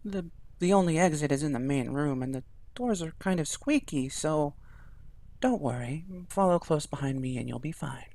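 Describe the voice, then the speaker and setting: A man voicing a kind, confident schoolgirl who sounds bright and reassuring. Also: a little high, moderate pace, pitched above the performer's own voice, natural voice.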